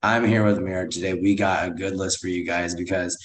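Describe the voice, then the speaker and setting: Low, slightly hoarse American man, roomy sound. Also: flat delivery, fairly quick. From United States. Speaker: co-host of a film and TV review show. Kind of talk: upbeat podcast chat. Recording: roomy echo.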